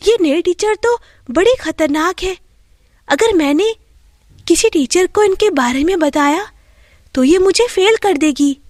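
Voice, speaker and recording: Woman playing a very high-voiced young schoolgirl. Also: expressive, a little slow, darkish tone, no echo, studio quality. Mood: worried. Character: poor, timid but plucky young schoolgirl.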